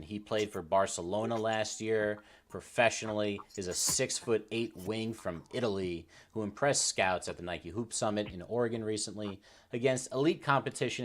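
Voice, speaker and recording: A man's voice: on the low side, a bit bright. A man on a sports talk show delivering commentary and updates as a panelist.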